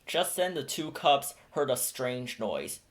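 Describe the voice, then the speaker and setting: A man narrating a children's picture book aloud in a steady, clear storytelling manner. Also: mid-range voice, takes it slowly, slight background noise. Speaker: storybook reader.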